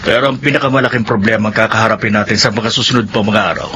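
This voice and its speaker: Man acting a low-voiced, troubled workman. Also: fairly even intonation, rapid-fire, close to an everyday voice. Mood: grim, worried. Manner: grave, troubled. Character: worried, plain-spoken construction worker and family man. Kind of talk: Filipino radio drama acting. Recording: noisy radio drama recording.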